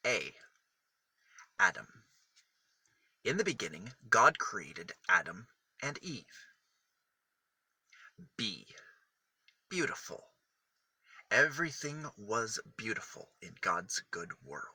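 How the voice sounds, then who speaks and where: A man reading a picture book aloud for small children, with deliberate, emphatic phrasing that sets single words apart. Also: fairly low pitch, sing-song, pushed and bright, breathy edge, no room sound. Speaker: children's storybook reader.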